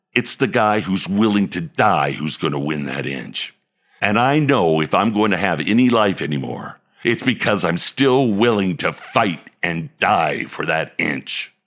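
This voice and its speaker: Man doing a gruff old football coach, deep gravelly voice, pounding locker-room speech. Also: fairly bright tone, heavily acted. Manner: building locker-room pep talk with heavy emphasis. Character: aging male football coach, worn down and fierce. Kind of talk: dramatic monologue read.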